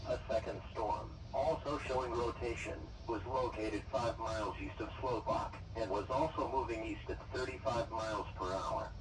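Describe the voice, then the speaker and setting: A man's voice: mid-range voice, normal speed, few pauses. A synthetic man's voice reading a formal scripted weather warning as a radio announcer. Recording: distant weather radio broadcast, loud noise.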